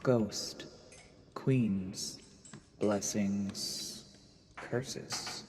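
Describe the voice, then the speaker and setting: An actor playing a sly assassin posing as a charming nobleman, narrating an audio drama in a courtly, measured, theatrical way. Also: lowish voice, fairly even intonation, darkish tone, slight background noise. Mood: brooding, calculating. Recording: long reverb, music underneath.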